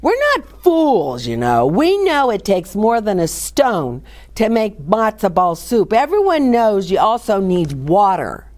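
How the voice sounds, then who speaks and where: A woman voicing proud, indignant, foolish storybook villagers with huffy, exaggerated, drawn-out exclamations. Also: mid-range voice, big pitch swings, a little mellow.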